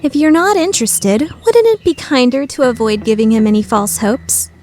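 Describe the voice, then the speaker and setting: A woman voicing a prim, calculating high school girl in an anime fan radio play, with polite, formal diction. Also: expressive, normal speed, a little mellow, lightly acted. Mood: smugly assured.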